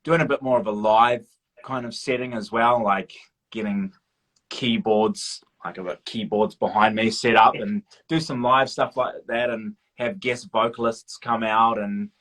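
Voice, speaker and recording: A man with a slightly low voice talks as a guest in a casual interview. He is modest and informal, hesitating as he works out what to say. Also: steady tone, a little slow, fairly bright tone. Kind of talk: casual chat.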